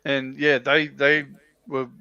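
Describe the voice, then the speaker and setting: An Australian-accented man chatting casually as a livestream panelist, thinking aloud in a halting way. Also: mid-range voice, level tone, bright, forceful tone, clear, resonant tone, no room sound. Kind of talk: casual hobby chat. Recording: slightly dull, lo-fi audio.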